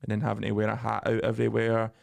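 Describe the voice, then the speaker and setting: A man's voice: lowish voice, fast, vocal fry. A young man co-hosting a podcast, telling stories in casual chat with plenty of swearing and jokes. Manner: swearing, joking, animated storytelling. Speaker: podcast co-host.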